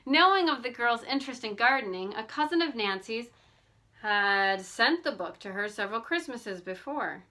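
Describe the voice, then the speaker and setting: A woman reading a novel aloud as an amateur audiobook narrator, in an expressive storytelling style. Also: on the high side, normal speed.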